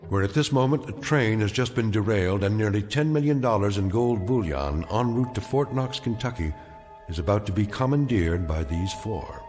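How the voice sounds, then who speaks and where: An actor narrating an uncanny radio drama anthology in a measured, portentous way. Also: male voice, lowish voice, lively intonation, darkish tone, lightly acted. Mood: ominous. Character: ominous, knowing male narrator of uncanny tales. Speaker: narrator of a mystery anthology series. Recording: long reverb, dramatic music behind.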